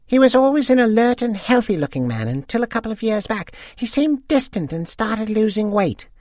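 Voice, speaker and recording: A fretful, nosy old lady, put on by a male narrator frets in a high, piping voice. Her timbre is far from his own, with a thin, pinched edge. The recording is dry and close. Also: very animated, normal speed, a little mellow, dry recording. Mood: fretful gossip. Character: older woman gossip, fretful and nosy.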